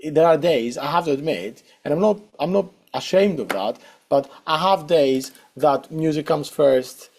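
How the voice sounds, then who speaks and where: A man's voice: fairly high pitch, lively intonation, unhurried, darkish tone, a little hiss or hum. A middle-aged man with a Greek accent, answering interview questions hesitantly with many fillers and restarts. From Greece. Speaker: guest saxophonist.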